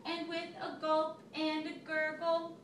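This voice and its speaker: A woman's voice: very high voice, completely flat delivery, slow pace, a bit bright. A woman teacher reading a picture book aloud to a child, telling it expressively and stopping to ask questions. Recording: echoey room, recorded from far off, noisy.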